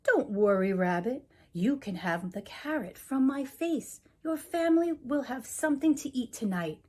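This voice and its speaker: A woman's voice: sits high, lively intonation, measured pace, warm tone, voice pushed up. Kind, reassuring storybook snowman read by a woman. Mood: kindly, reassuring.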